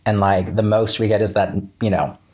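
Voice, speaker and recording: An American man with a lowish, slightly rough-edged voice speaks as a guest in a casual interview. He restarts and corrects himself often as he works through his thoughts. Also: fairly even intonation, normal speed, a little mellow. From Central Florida, United States.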